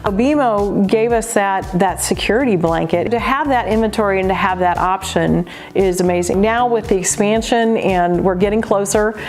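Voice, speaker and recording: A woman's voice: mid-range voice, normal speed, few pauses, darkish tone. A woman who owns a quilt shop, giving an earnest, emphatic customer testimonial. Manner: earnest, emphatic, restarts when moved. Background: faint background music.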